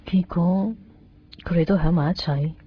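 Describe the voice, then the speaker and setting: A woman acting a conflicted young woman in a Cantonese radio drama, speaking with a Hong Kong accent in halting, introspective phrases. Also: fairly low pitch, a little hiss or hum, close to an everyday voice. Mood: subdued. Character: conflicted young woman torn in love, brooding and evasive. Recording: dull lo-fi 1990s radio recording, music behind. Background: background music.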